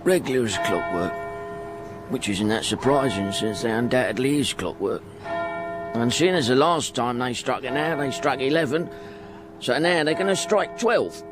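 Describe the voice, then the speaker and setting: A man's voice: medium pitch, animated, normal speed, over music, natural voice. An actor playing a poor, humble middle-aged porter and devoted father in a radio drama, rambling in folksy working-class speech. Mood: chatty, stoic. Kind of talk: radio drama acting, first-person monologue.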